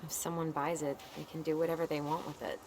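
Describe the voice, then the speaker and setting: A woman's voice: low-pitched, little pitch movement, fast talker. A young woman with an American accent playing a guarded, practical character with dry wit in a video game cutscene. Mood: wistful, dry. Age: young adult. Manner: dry, understated. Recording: noisy game stream capture with ambience.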